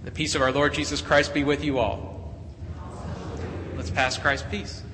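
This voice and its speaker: A man's voice: on the high side, level tone, a little slow. A male pastor leading worship and chatting playfully with children, often stopping and restarting his phrases. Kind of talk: church service leading and children's sermon. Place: church sanctuary. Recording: church sanctuary mic with long hall reverb and faint background noise.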